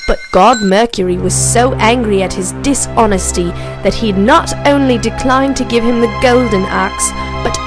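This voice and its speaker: A woman's voice: on the high side, normal speed, few pauses. A woman reading a children's story over music. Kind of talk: scripted children's story narration. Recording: narration over clear background music. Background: background music.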